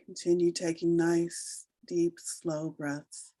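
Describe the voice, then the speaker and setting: A woman leading a guided meditation, giving soothing, unhurried instructions with long pauses between phrases. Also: fairly low pitch, level tone, dark tone, no echo. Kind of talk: slow guided meditation narration.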